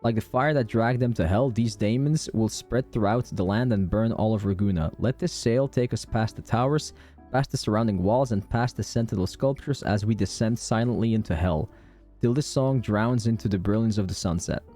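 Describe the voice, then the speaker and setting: A man's voice: fairly low pitch, moderate pace, dark tone, soft background music. A man streaming a game playthrough, commenting in an offhand, wry way.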